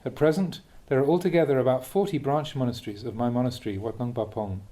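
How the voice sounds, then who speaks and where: A man reading a Buddhist Dhamma talk aloud as a monk, in a measured, expressive way with emphatic stresses. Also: mid-range voice.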